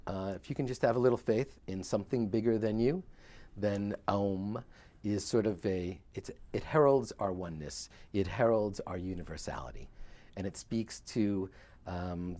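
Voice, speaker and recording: A man with a fairly low voice speaks as a guest author on a talk show. He talks earnestly and rambles, pausing often on fillers as he searches for words. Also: a little mellow. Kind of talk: relaxed interview talk.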